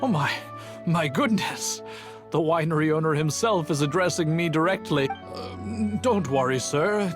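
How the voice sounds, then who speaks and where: An actor voicing a nervous, deferential winery worker in a video game, stammering and eager to please. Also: male voice, fairly high pitch, measured pace, close to an everyday voice. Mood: flustered. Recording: game music underneath.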